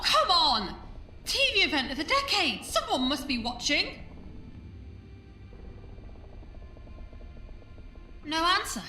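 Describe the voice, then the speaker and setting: A woman's voice: very high voice, sharp tone, exaggerated voice. A woman playing a bold, exasperated paranormal investigator in an audio drama, emphatic and outspoken. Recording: echoey room, distant mic, faint music.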